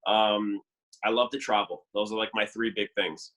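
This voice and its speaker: A man's voice: on the low side, little pitch movement, normal speed, pushed and bright, poor audio. A young man from Canada talking casually on a podcast, rambling with many fillers and "like". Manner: chatty, lots of fillers and "like". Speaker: dating show contestant.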